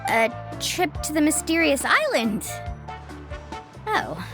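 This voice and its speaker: Upbeat, high-voiced young surf guide. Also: female voice, big pitch swings, slow pace, exaggerated voice. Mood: earnest. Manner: breezy, helpful, persuasive. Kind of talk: video game character acting. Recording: music playing behind the voice. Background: game music.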